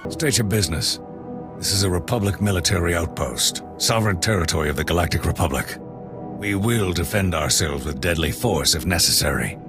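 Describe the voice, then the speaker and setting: Frontier officer, very low pitch, gruff, wary, clipped, authoritative, game cutscene, faint music. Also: male voice, lively intonation, fairly quick. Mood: challenging, stern. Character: gruff, wary frontier military outpost commander. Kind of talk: dramatic video game acting.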